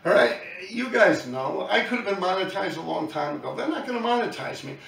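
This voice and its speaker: A man's voice: high voice, animated, brisk, gravelly, a bit bright, echoey room, distant mic. A man, talking bluntly and profanely to his viewers in a rambling monologue. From New York City. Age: 50s. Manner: blunt, profane, talks in loose run-on asides. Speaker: YouTube channel host, graffiti writer.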